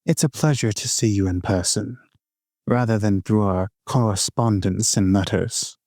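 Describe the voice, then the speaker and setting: A man voicing a restrained elf master, polite, measured and formal, in a fantasy audio drama. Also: lowish voice, darkish tone, close to an everyday voice.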